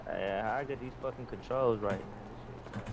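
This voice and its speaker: A man's voice: mid-range voice, fairly quick. A man chatting casually in slang as a player in game voice chat. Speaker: online player. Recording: dull lo-fi voice chat, faint noise.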